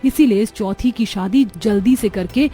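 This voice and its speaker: A woman voicing a resentful, sharp-tongued young married sister-in-law in a cartoon story, in a complaining and scolding manner. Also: mid-range voice, normal speed, close to an everyday voice. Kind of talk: Hindi cartoon story dialogue. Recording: music behind the voice.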